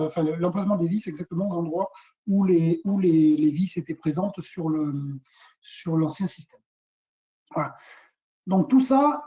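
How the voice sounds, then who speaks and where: A woman's voice: on the low side, dark tone. A woman giving an unscripted product presentation in a webinar, explaining step by step and often hesitating and repeating words. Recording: dull, muffled lo-fi webinar audio.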